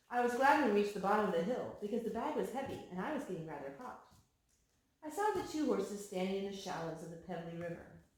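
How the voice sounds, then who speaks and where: A woman reading a picture book aloud to young children, pausing to explain things to them. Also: medium pitch, darkish tone. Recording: very echoey room with some noise.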